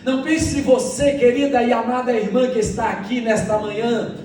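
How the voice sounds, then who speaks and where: A man's voice: very high-pitched, fairly even intonation, moderate pace, darkish tone. A Brazilian man preaching as a pastor in a church sermon, exhorting and repeating phrases as he addresses the congregation directly. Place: church hall during a morning service. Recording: echoey church sound, distant, faint music.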